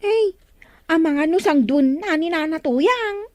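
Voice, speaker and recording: An actress playing a nosy, excitable village woman in an Ilocano radio comedy drama, with an Ilocano accent. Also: very high voice, slow pace, no echo, heavily acted. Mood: curious, surprised.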